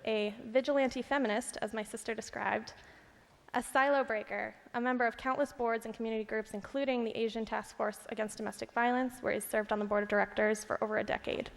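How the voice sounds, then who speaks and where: Higher-pitched woman reading a speech in echoey hall. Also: steady tone, brisk, creaky. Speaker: staffer reading remarks on another's behalf. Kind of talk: prepared speech read aloud at an awards ceremony. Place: large indoor event hall. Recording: echoey hall with long reverb.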